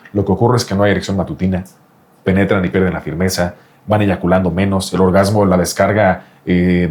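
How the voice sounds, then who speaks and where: A man, speaking quickly as a doctor explaining results to his patient. He is insistent and explanatory, and keeps coming back to the listener's name. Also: sits low, a little mellow. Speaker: doctor specializing in reproductive andrology. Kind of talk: explanatory interview talk with a patient.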